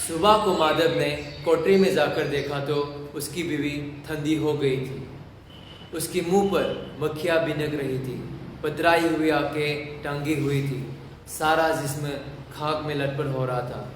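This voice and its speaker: A man's voice: on the high side, fairly even intonation, measured pace. A man giving scripted narration of a story for an audio play. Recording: very echoey room, faint noise.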